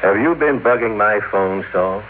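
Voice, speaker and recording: An actor playing a stern, self-righteous company boss and brother in a vintage radio drama, speaking in an emphatic, moralizing way. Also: male voice, fairly low pitch, normal speed, phone-quality sound, lightly acted. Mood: accusing. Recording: thin vintage radio sound, faint noise.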